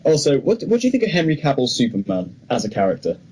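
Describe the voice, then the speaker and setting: A man's voice: mid-range voice, wildly swooping pitch, fast talker, warm tone, slight background noise. A man co-hosting a podcast, chatting casually and giving his opinions emphatically. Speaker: podcast co-host. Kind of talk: casual film news chat.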